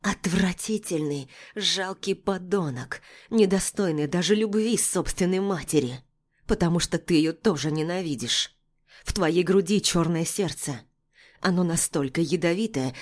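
Woman narrator doing wronged heroine in an audiobook, defiant, emphatic and deliberate, lowish female voice. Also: a little mellow. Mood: contemptuous.

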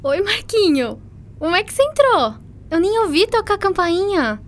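Curious teen schoolgirl, brazilian accent, really high voice, chatty n slangy, radio play. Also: big pitch swings, moderate pace. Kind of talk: scripted educational radio drama.

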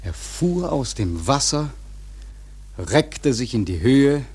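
Radio drama narrator: grave seafaring storyteller, husky, slow and measured, literary delivery. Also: male voice, medium pitch, very animated, a little mellow, heavily acted. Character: grave seafaring storyteller recounting a whaling disaster. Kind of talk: dramatic scripted narration in German radio play.

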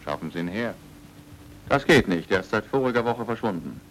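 An actor playing a stern, commanding British military police major in a German radio crime drama, with clipped, authoritative delivery. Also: male voice, lowish voice, lively intonation, brisk, a little hiss or hum, close to an everyday voice, low-quality recording. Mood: brisk, commanding.

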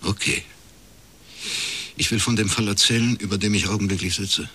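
An actor playing a shrewd, dry-witted private detective in a radio crime drama, laconic and deadpan. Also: male voice, fairly low pitch, normal speed, breathy edge. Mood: dry, knowing. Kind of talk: naturalistic German radio crime drama acting.

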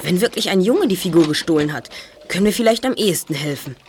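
A woman's voice: on the low side, animated, fast talker, a little mellow, slight background noise, lightly acted. A woman playing a confident boy detective in a German audio drama, articulate and formal in delivery. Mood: polite. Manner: articulate, formal, self-assured. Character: confident, well-spoken boy detective, leader of a trio.

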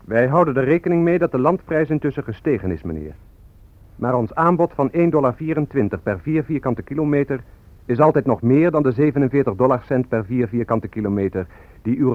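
Defiant activist spokesman, acted by a man, very animated delivery. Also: medium pitch, fairly quick, dry recording, phone-quality sound, lightly acted. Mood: ironic, deliberate. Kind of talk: radio drama acting, declamatory.

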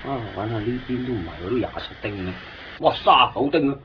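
A man's voice: mid-range voice, lively intonation, fast talker, slight background noise, lightly acted. An actor with a Hong Kong Cantonese accent playing a talkative man who lives in Japan and fusses over a friend, in a 1990s radio drama. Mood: chatty. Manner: talkative, fussing and coaxing. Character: chatty Hong Kong man living in Japan, fussy guide. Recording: dull lo-fi radio broadcast with music.

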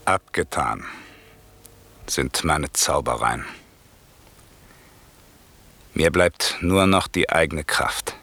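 Radio drama, old exiled sorcerer, deep, solemn, stately delivery, authority worn down by weariness. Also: male voice, lively intonation, gravelly, sharp tone, breathy edge, heavily acted. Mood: weary, pleading. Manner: solemn, measured, declamatory. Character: Prospero, aging male sorcerer and wronged duke, commanding, weary. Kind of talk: classical German radio drama acting.

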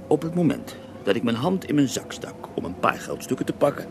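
A man's voice: medium pitch, very animated, brisk. An actor playing an earthy Russian holy man who tells his life story with theatrical relish in a radio drama. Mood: dramatic recounting. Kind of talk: radio drama acting in interview form. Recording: noisy older radio drama recording.

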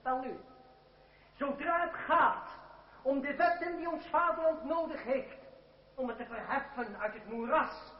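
An actor playing a defiant, eloquent revolutionary deputy with formal, sardonic rhetoric in an old radio drama. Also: male voice, very high-pitched, a little slow, distant mic. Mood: resolute. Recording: dull old radio recording, echoey hall, crowd. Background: noisy assembly crowd.

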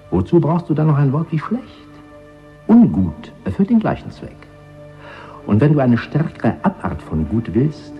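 Smug fanatical word scholar, lowish voice swinging up and down, radio drama, muffled w/ music. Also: male voice. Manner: lecturing, emphatic, self-satisfied. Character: zealous, pedantic male linguist, fanatical party believer. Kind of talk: German radio play acting. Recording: dull radio production with music.

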